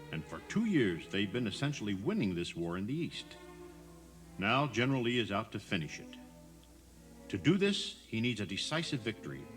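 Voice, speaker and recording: A man narrating a scripted audio tour as a guide, in a conversational, emphatic storytelling manner. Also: on the low side.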